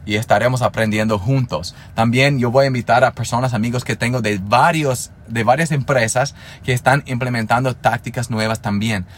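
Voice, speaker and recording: A man's voice: medium pitch, moderate pace, hardly any pauses, slight background noise. Earnest Spanish-speaking man, motivational podcast. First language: English. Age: 30s. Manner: earnest, motivational, with frequent restarts and fillers. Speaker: podcast host. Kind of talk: solo podcast monologue.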